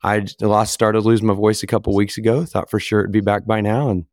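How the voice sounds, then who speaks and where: A man co-hosting a casual podcast chat, his voice still a little hoarse and squeaky from losing it, talking in a loose, easygoing way. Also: steady tone, brisk, darkish tone, no echo, clean studio sound. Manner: easygoing, loose, lots of fillers. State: recovering from voice loss, occasional squeak. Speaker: podcast co-host.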